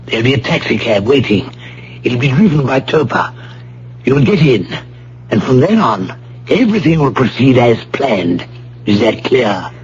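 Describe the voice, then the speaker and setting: A man's voice: mid-range voice, sing-song, slight background noise, lightly acted. Man playing a suave, menacing criminal mastermind on muffled radio. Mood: coolly threatening. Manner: formal, deliberate, politely threatening. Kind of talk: vintage radio drama acting. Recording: muffled, humming old radio broadcast recording.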